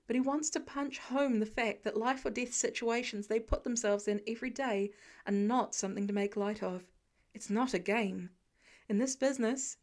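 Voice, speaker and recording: A woman narrates a written story in a fairly high voice. Her delivery is that of an audiobook reader working from a script. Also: a little mellow. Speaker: audiobook narrator of fan fiction.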